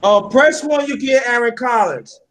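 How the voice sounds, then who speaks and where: A man hosting a casual late-night online chat on a call, teasing and animated, often repeating words in quick bursts. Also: very high voice, slight background noise. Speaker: show host. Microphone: online call audio.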